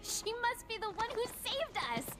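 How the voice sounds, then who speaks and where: Very high-pitched, excitable young game heroine. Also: female voice, normal speed. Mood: surprised, grateful. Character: excitable, polite young female assistant in monster-hunting game. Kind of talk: video game cutscene acting. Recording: game cutscene with loud background music.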